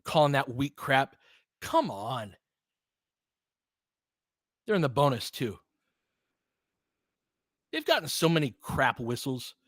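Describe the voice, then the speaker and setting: A man's voice: medium pitch, sing-song, slow pace, long pauses, fairly bright tone, no echo, studio quality. A man doing informal, partisan live basketball commentary as a fan host, talking casually with some mild swearing.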